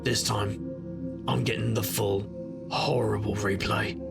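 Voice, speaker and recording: A man's voice: fairly low pitch, flat delivery, slow pace, creaky, loud music behind the voice. A man playing a wry, brooding middle-aged magician detective, narrating an audio drama in first person. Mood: grim.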